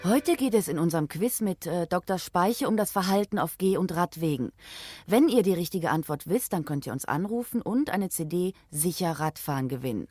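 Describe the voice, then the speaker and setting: A woman's voice: fairly low pitch, mellow, dry recording, clean studio sound. A woman playing a friendly, orderly quiz host in a children's radio play, polite and upbeat as she addresses young listeners. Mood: upbeat, presenting.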